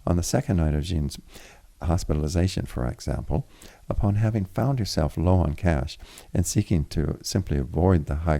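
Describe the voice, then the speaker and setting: A man with a very low, dark voice reads a literary story aloud. His delivery is formal and deliberate, with a gravelly creak running through it and now and then a small stumble. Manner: formal, deliberate reading with occasional stumbles and retakes. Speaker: reader of a story chapter. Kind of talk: literary audiobook narration.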